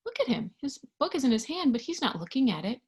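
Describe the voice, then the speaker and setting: A woman reading a picture book aloud to young children, expressively, pausing to put questions to her listeners. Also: high-pitched, husky. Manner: expressive, animated, with hushed asides and questions to listeners. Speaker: storybook reader.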